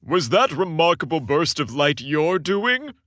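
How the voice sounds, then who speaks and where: Guy doing big jolly old santa, booming, lively swoopy delivery, storybook style. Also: unhurried, no echo, clean studio sound. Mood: jovial, decisive. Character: jolly, booming old Santa Claus, larger than life.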